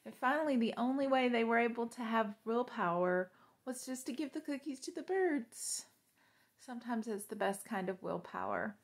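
A woman's voice: fairly high pitch, lively intonation, normal speed. A young adult woman reading a children's story aloud, with simple explanatory asides to young listeners. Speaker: storytime reader. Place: small living room, on a couch indoors.

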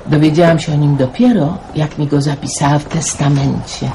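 A woman's voice: low voice, steady tone, moderate pace, warm tone. A woman acting a kindly, plainspoken older aunt in a Polish radio drama. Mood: reminiscing. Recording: noisy 1990s radio play recording.

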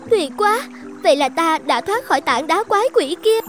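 Very high-pitched little talking fish. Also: female voice, expressive, normal speed, over music. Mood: grateful. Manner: plaintive, polite. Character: pleading, grateful little talking fish in trouble. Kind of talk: Vietnamese fairy-tale cartoon dubbing.

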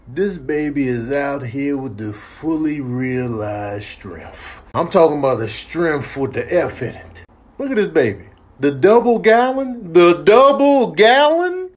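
A man's voice: mid-range voice, breathy edge. A man doing comedic voiceover commentary, slangy and exaggerated in his emphasis.

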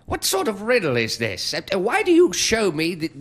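A man's voice: sits high, huge pitch swings, moderate pace, heavy background noise. A man playing a frightened old miser, pleading theatrically with a spirit in stammering, exclamatory speech. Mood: anxious, hushed. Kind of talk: dramatic stage-style monologue.

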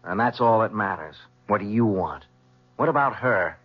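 A man's voice: fairly low pitch, expressive, moderate pace, husky. A young man acting in a 1970s radio drama as an earnest, confrontational son who is protective of his mother. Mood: defiant. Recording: muffled vintage radio recording.

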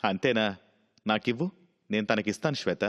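A man's voice: on the low side, moderate pace, fairly bright tone, in a large hall, natural voice. A man voicing a practical, dismissive husband and breadwinner who reasons through a moral story dialogue. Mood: exasperated.

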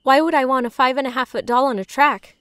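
A woman's voice: high-pitched, steady tone, fast talker, a bit bright, clean tone, dry recording. A young woman playing a troubled, determined heroine in a comic dub, with an earnest, intense delivery. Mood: puzzled. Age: young adult. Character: troubled, determined young woman searching her father's secrets. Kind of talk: animated comic dub acting.

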